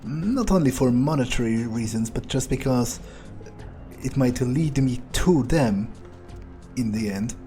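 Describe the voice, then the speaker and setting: A man playing a cynical, arrogant vampire in a game, musing haltingly to himself with plenty of swearing. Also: medium pitch, lively intonation, warm tone, faint music behind, natural voice. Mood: calculating. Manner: musing, halting, foul-mouthed. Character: cynical, arrogant, cold-blooded male vampire hitman. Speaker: gamer playing in character. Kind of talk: in-character game playthrough monologue.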